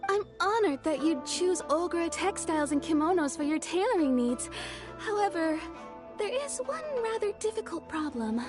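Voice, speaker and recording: A woman's voice: very high voice, lively intonation, moderate pace. A woman playing a polite, deferential kimono shopkeeper in a video game, speaking formally. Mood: polite, regretful. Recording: game music behind the voice.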